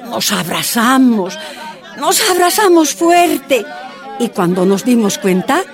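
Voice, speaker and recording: Slow, expressive hunger striker. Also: female voice, fairly high pitch, faint music behind, some chatter behind, full character voice. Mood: moved. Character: emotional Bolivian woman hunger striker recalling her ordeal. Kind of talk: testimonial radio drama acting.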